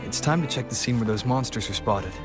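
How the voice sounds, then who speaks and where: An actor playing a curious young adventurer in a video game, musing tersely to himself over music. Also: male voice, mid-range voice, brisk, lightly acted. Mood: curious, puzzled. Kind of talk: video game protagonist monologue. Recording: music under the voice.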